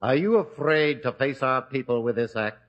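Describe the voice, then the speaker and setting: A man's voice: mid-range voice, natural voice. A man playing a defiant, righteous judge. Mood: indignant. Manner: indignant and deliberate. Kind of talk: vintage radio drama acting. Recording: dull old radio recording.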